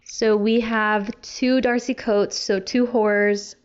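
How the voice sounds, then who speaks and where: A woman's voice: mid-range voice, flat delivery, slow pace, clear, resonant tone, dull audio. A young adult woman talking casually to viewers as a book vlogger. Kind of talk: casual chat to camera.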